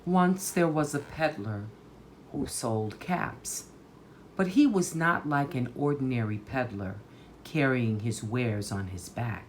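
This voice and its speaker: A woman reading a children's story aloud in a deliberate, emphatic, dramatic way. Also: very deep voice, expressive, slight background noise. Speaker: storytime reader.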